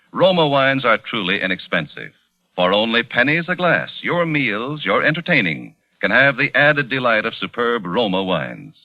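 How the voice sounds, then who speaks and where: Animated vintage male radio pitchman, echoey sound. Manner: polished, emphatic salesmanship. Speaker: radio commercial announcer. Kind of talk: 1940s radio advertisement, scripted. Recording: dull, echoing old radio recording.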